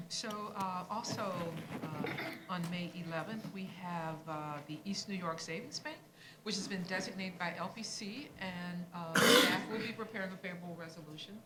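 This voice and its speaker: A woman staff member briefs the commission on agenda items in a businesslike tone. Her voice sits slightly low. The recording is echoey with background noise. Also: moderate pace.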